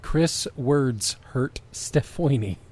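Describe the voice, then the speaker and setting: A man's voice: mid-range voice, animated, very slow, darkish tone. A man hosting a comic reading of fan fiction, mixing mock-grand narration with amused asides. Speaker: podcast host.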